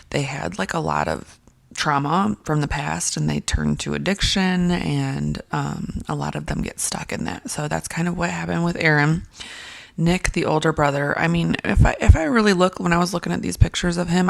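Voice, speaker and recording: Raspy low-voiced woman, rambling commentary. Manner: rambling, frequent fillers and restarts, clears throat often. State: raspy from whispering. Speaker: commentary video creator. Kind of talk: casual commentary.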